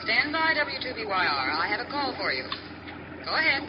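Casting: a procedural radio operator, singsong, heard over a dull, noisy 1940s radio link. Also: female voice, a little high, very animated, brisk. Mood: formal. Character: formal female ship-to-shore radio operator. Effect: radio filter.

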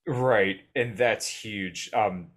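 A young man with a fairly low voice talks politics on a podcast. He is earnest and opinionated, and he often stumbles and restarts his sentences. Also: extremely slow, fairly bright tone. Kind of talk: casual political podcast chat.

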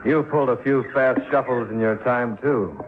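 An actor in a 1950s radio drama playing a wry, clipped, sardonic insurance investigator who also narrates. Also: male voice, mid-range voice, moderate pace, noisy background, lightly acted. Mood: hard, sardonic. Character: wry, hard-boiled male insurance investigator narrating his case. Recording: tinny phone-like 1950s radio recording with music.

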